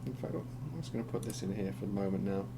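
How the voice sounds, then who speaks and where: A man with a croaky voice from being unwell, talking through market charts in a rambling, hesitant way with many ums and restarts. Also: sits low, quick pace. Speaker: crypto chart analyst. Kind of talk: casual screen-share market commentary. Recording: steady background hum.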